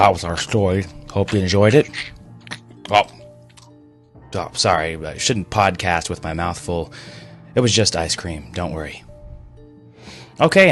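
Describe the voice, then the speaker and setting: Male horror podcast host and narrator, lower register, music bed behind.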